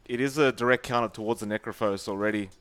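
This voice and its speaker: A man's voice: on the low side, steady tone, fast talker, fairly bright tone. Australian man casting an esports match. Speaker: esports caster. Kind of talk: play-by-play game commentary.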